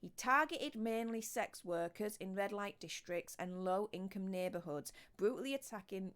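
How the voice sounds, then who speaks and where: A woman's voice: mid-range voice, sharp tone. A young woman with a Yorkshire accent hosting a casual commentary vlog, chatting with lots of fillers and restarts and reading out article passages. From Yorkshire, northern England. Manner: chatty, with many fillers and restarts, reads article excerpts aloud. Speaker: YouTube host.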